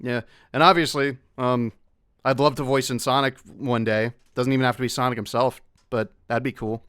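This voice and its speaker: A man with a clear, smooth voice in a middle register chats casually on a panel. He is talkative and encouraging, slipping in joking asides, and the recording is dry and close. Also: medium pitch, level tone, moderate pace, fairly bright tone, no echo. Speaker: voice actor on an online convention panel.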